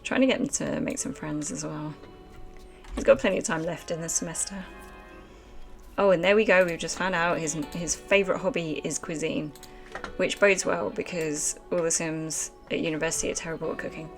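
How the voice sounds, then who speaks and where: A woman's voice: low-pitched, fairly even intonation, moderate pace, vocal fry, soft background music. A woman streaming a game live, chatting casually and rambling with lots of fillers at a desk microphone. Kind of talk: casual let's-play commentary chatting with chat.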